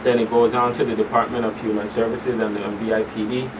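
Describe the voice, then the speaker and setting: A man explaining things in a measured way as the expert guest on a radio talk show. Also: medium pitch, flat delivery. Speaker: guest expert, professional counselor. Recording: distant mic, clearly noisy background.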